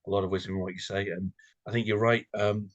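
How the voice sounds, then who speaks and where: A man's voice: fairly low pitch, level tone, quick pace, dry recording, muffled recording. A man co-hosting a podcast in casual, reflective chat, earnest and slightly florid in his wording. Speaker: podcast co-host.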